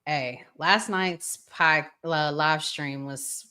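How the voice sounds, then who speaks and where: American woman, low-pitched, very slow pace, casual livestream host, conversational. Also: sharp tone, clean tone. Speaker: podcast host.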